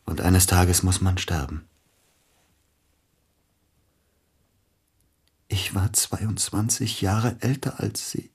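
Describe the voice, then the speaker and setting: A man's voice: deep voice, unhurried, creaky, darkish tone, breathy edge, full character voice. A man playing an aging, bitter, melancholy celebrated pianist in a radio play, speaking in a formal, brooding, theatrical way. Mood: grieving, melancholy.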